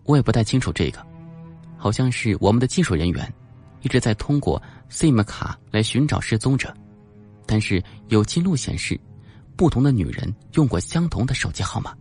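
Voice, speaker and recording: Man voicing a brisk young policewoman, pitched up. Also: big pitch swings, a little mellow, soft background music. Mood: earnest.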